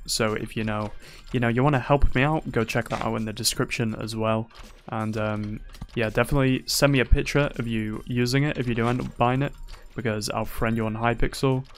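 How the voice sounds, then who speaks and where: A man's voice: mid-range voice, slight background noise. A young man giving casual, playful gameplay commentary as a gaming YouTuber, teasing opponents and boasting jokingly, his voice a little worn. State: slightly losing his voice.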